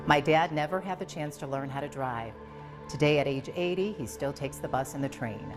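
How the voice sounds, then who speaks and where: A woman's voice: low voice, moderate pace, music in the background. A woman from Taiwan whose first language is Mandarin, reading her own story in a reflective, scripted narration. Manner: reflective, read-aloud storytelling. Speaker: personal storyteller.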